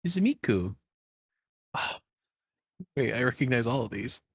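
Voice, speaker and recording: A man's voice: mid-range voice, big pitch swings, a little slow, creaky, slightly rough, no echo. A man giving casual, spontaneous reaction commentary as a streamer, enthusiastic and informal, speaking close to the microphone.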